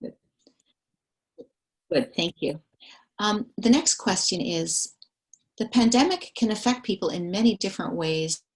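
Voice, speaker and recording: A woman conducting a structured survey interview, calmly reading questions and summarizing the answers back. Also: mid-range voice, a little slow, pauses often. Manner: calm, methodical, reads questions and summaries back.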